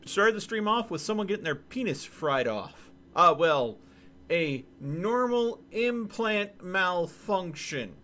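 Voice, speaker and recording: A man streaming a game, chatting casually with viewers in an animated, joking way. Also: sits high, takes it slowly, a little hiss or hum. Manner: animated, joking, talks to chat. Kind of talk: casual gameplay commentary.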